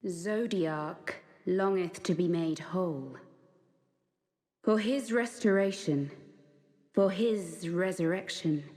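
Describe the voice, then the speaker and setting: A woman's voice: fairly low pitch, a little mellow. A woman playing an ancient goddess of light in a fantasy game, solemn, maternal and sorrowful, speaking in grave archaic phrases. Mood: ominous, grave. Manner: grave, archaic, measured phrasing with weighty pauses. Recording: long cavernous reverb.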